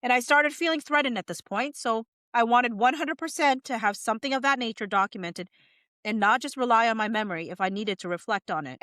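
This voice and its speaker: A woman's voice: a little high, fairly bright tone, no room sound, clean studio sound. A woman narrator reading a legal deposition transcript aloud, questions and answers alike.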